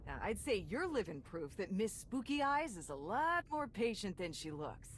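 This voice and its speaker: A sassy female mechanic, voiced by a woman, snaps off sarcastic lines. Her pitch jumps about in a lively way, with a faint hiss behind. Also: lightly acted. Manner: sarcastic and quick.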